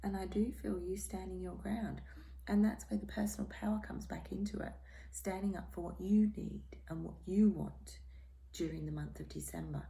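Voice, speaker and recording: A hoarse, croaky woman giving a tarot reading in a soft, half-whispered, reassuring way. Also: lowish voice, fairly even intonation, normal speed, low-quality recording. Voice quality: croaky, throat-clearing. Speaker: tarot reader. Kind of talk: casual tarot reading monologue.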